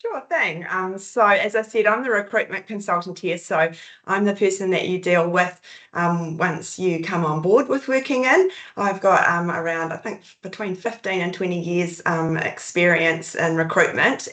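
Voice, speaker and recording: A woman's voice: on the low side, moderate pace, a bit bright, roomy sound. A woman with a New Zealand accent, introducing herself as a recruitment consultant in an easygoing, hesitant way with many ums over a video call. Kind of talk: casual webinar self-introduction.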